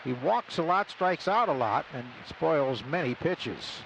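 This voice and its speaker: A man's voice: sing-song, unhurried, creaky, fairly bright tone, a little hiss or hum, low-quality recording. An older American man doing conversational live radio baseball play-by-play from a stadium booth. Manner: conversational, storytelling between pitches. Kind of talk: live radio sports commentary.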